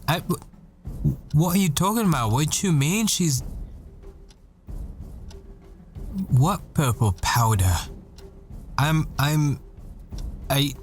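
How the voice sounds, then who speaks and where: Casting: male actor as troubled professor-assassin boyfriend, slow and stammering, dramatic audio roleplay, faint music underneath. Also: fairly high pitch. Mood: agitated, urgent. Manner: halting, stammering, repeats himself. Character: desperate boyfriend, dazed math professor and assassin.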